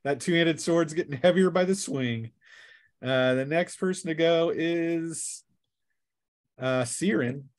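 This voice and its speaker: A man's voice: on the high side, slow pace, dry recording. A man acting as game master, narrating a tabletop game in a playful, colloquial way. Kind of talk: casual tabletop game narration with acted sound effects.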